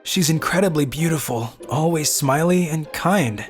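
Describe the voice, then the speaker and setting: Woman as shy high school boy, low pitch, meek, hesitant, manga narration, background music. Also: normal speed, close to an everyday voice. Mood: timid, nervous. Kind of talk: first-person manga story narration.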